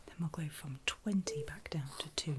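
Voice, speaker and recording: A woman whispering in a breathy, soothing and reassuring way, as a caregiver in an ASMR medical roleplay. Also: sits low, slight background noise. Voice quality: breathy, mostly unvoiced whisper. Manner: soothing, reassuring, slow and deliberate. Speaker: ASMR performer playing a surgical nurse.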